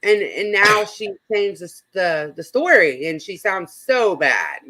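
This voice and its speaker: A woman's voice: mid-range voice, very animated, slow, bright, forceful tone. A woman hosting a casual online chat, talking through gossip in an informal, conversational way.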